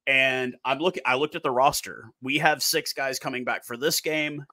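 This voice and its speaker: A man in his thirties hosting a casual video call chat from a small room on a headset, animated in his talk. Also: medium pitch, moderate pace, dry recording. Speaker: host.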